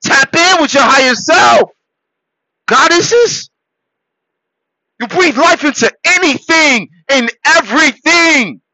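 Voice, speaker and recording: A man born in Haiti hosting a podcast, delivering a preachy motivational monologue that swings between loud shouted exhortations and low, quiet murmurs. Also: animated, slow pace, pauses often, dry recording, rough recording. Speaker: podcast host.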